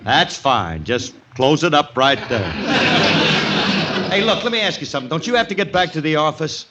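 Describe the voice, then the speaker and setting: A man plays a brash, exasperated American guy in a 1940s radio comedy. He is sarcastic and slangy, and his pitch jumps about in lively bursts. The old broadcast has clear background noise. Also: moderate pace, dull audio, natural voice. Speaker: comedy show star. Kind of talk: 1940s radio sitcom acting.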